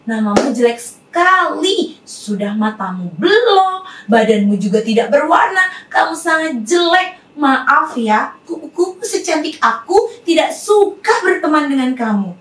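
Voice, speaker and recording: A woman's voice: high voice, sing-song, unhurried, fairly bright tone, voice pushed up, heavily acted. A woman voicing a vain, scornful butterfly in a children's story, with a haughty, mocking manner. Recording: roomy echo, far from mic, music behind.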